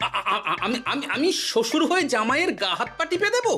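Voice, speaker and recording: A woman's voice: high voice, sing-song, fast talker, bright, forceful tone, heavily acted. A woman voicing a put-upon, canny older father-in-law in a cartoon, grumbling and indignant, with music behind. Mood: flustered, indignant. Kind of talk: cartoon voice acting.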